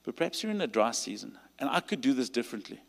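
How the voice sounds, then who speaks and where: A man with a South African accent preaching a sermon and leading a call to stand, his delivery rising to emphatic peaks and dropping to quiet pleading prayer. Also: mid-range voice, very animated, fast, creaky. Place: large church hall. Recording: long hall reverb.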